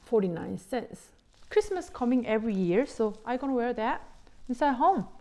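A middle-aged woman, not a native English speaker, excitedly chatting to viewers about bargain clothes in simple English with many "you know"s. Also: a little high, normal speed. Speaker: vlogger showing a shopping haul. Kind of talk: casual chat to viewers.

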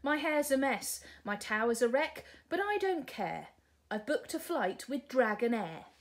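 Woman acting a sparky young princess, storybook, exclamatory, impatient, high voice, very lively. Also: unhurried, heavily acted. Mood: cheeky. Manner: exclamatory, cheeky. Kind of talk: storybook character reading.

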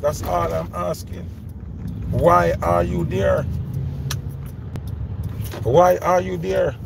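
Jamaican man, 30s, gravelly, emphatic, car interior, phone mic, background noise. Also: high voice, fairly even intonation, drawn-out words. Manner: emphatic, repeats questions, Patois mixed with English. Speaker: vlogger. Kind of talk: ranting commentary. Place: inside a parked car. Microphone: phone camera held close.

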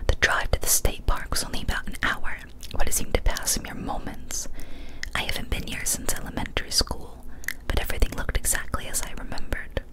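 Young woman, whispered ASMR storytelling, breathy, hushed, deliberate short phrases, close studio mic. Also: rough recording. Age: young adult. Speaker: ASMR storyteller, channel host. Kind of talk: whispered ASMR reading of a first-person horror story. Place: small indoor room, decorated home studio. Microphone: large studio microphone, very close.

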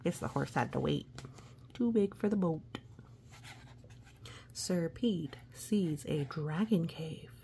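A woman reading a children's storybook aloud expressively, with gentle asides and hushed, suspenseful phrasing. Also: deep voice, slow, vocal fry, pauses often, slight background noise. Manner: expressive, hushed suspense, often dropping to a whisper. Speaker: storybook reader.

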